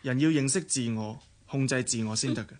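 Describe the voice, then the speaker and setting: An actor with a Hong Kong Cantonese accent playing an easygoing young adult elder brother in a radio drama, wry and reflective. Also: male voice, medium pitch, a little fast, a little mellow, natural voice.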